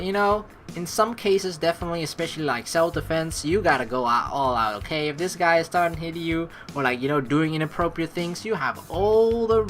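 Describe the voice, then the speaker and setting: A woman hosting a let's play in an excitable, reactive way, commenting casually and reading narration aloud. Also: lowish voice.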